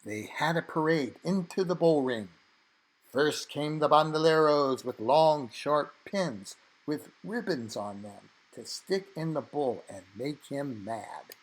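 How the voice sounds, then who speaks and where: A man reading a children's story aloud, expressively, with dramatic emphasis and drawn-out phrases. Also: on the high side, lively intonation, low-quality recording.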